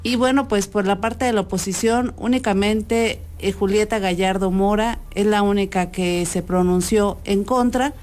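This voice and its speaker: A woman's voice: medium pitch, steady tone, normal speed, few pauses, a little mellow. Mexican woman reporting news, mid-range voice. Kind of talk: conversational live news report.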